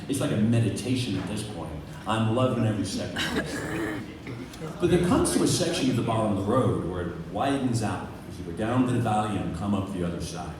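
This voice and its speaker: A man with an Irish accent telling a personal story live, in a dramatic, conversational way. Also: on the low side. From Dublin, Ireland. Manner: dramatic, conversational, uses profanity and rhetorical questions. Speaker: amateur storyteller at a storytelling night. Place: live event room. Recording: very echoey room, distant mic, clearly noisy.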